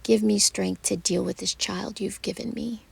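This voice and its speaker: A woman voicing a fussy, bossy older mother who nags and argues indignantly, in a whispery, gravelly storytelling voice. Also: mid-range voice, steady tone, full character voice. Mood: teasing. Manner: nagging, indignant, quick to argue.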